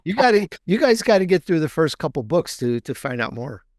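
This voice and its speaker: A man talking casually as a guest author in an interview, halting and restating phrases for emphasis. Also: fairly high pitch, big pitch swings, no echo, clean studio sound. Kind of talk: casual promotional interview talk.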